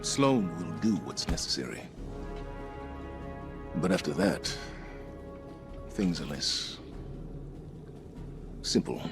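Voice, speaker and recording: A grave, reflective commander. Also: male voice, low voice, big pitch swings, vocal fry, full character voice. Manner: measured and reflective. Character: grave, thoughtful male commander. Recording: game cutscene with music.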